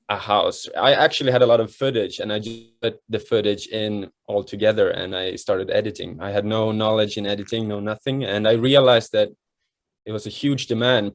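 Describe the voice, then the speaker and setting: A young Swedish man chatting casually as an interview guest, relaxed and full of fillers, with a Swedish accent. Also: fairly low pitch, dry recording. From Sweden. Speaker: guest, model and home renovator.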